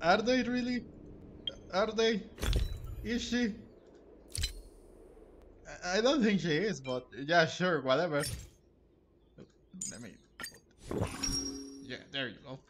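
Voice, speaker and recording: A man's voice: sits high, slow, long pauses, background noise. A man giving casual, joking and teasing commentary while playing a game. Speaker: gaming streamer. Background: game music.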